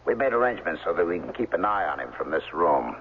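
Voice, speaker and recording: An actor playing a formal, discreet man in a 1970s radio drama, speaking with measured, precise diction. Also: low voice, very animated. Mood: formal, ominous. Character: formal, discreet man showing a billionaire's secret. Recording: tinny 1970s radio, reverberant, faint noise.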